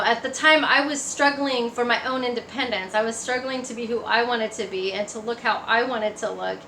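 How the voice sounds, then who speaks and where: Bright-voiced woman telling her story casually. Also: fairly high pitch. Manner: conversational, acts out remembered remarks. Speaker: speaker giving a personal testimony. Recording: echoey room, faint background noise.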